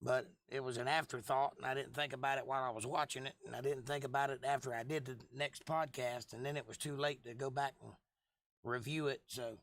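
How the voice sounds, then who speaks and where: A man with a hoarse, froggy voice hosting a casual livestream, rambling and joking at his own expense as he replies to viewers. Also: mid-range voice, fairly bright tone, no room sound. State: lost voice for months, throat clearing. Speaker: livestream host.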